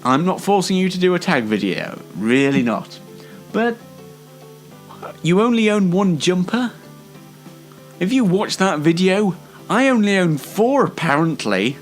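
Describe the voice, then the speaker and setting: A man presents a variety show over music, with a chatty and mock-dramatic delivery. His pitch swings widely, and he takes his time, drawing things out for effect. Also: animated, measured pace, long pauses. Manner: playfully theatrical, mock-dramatic. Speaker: host of an online variety show. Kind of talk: chatty scripted-style presenting with ad-libs.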